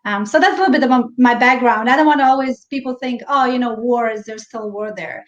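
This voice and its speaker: A woman with a Bosnian accent, chatting warmly as a guest on a live stream and laughing easily. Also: a little high, brisk. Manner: warm, chatty, laughs easily, restarts sentences. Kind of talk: casual interview chat over a live stream. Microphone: video call. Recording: echoey, dull lo-fi live stream audio.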